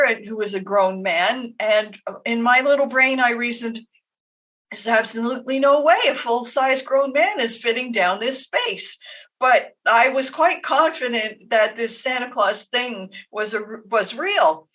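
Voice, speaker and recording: A Canadian woman tells stories in a conversational way, her voice sitting somewhat high. She phrases things with measured emphasis. The recording is slightly dull, as if she sits a little back from the microphone. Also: fairly bright tone, distant mic. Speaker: podcast co-host. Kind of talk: conversational storytelling.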